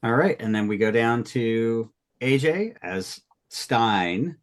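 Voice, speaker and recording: A man's voice: mid-range voice, animated, slow. A man hosting a group retrospective, chatting casually as he introduces people. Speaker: host of an audio drama cast retrospective.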